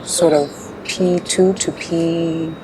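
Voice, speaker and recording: A young woman in her twenties, born in Uganda, answering interview questions in a relaxed way with frequent fillers. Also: lowish voice, slow pace. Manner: relaxed, laughs often, hesitant fillers. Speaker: pageant contestant guest. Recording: noisy background.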